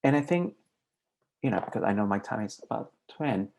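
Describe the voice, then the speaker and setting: A man's voice: on the low side, lively intonation, brisk, vocal fry, a little mellow. A man closing his presentation in a reflective, heartfelt way.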